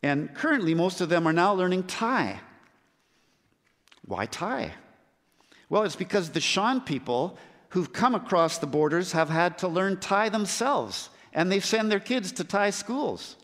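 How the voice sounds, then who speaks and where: A man delivering an earnest sermon about mission work, pausing for emphasis between phrases. His voice sits a little high for a man. The sound carries the long reverb of a large church hall. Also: big pitch swings, fairly bright tone. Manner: earnest, with measured emphatic pauses. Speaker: missionary preacher.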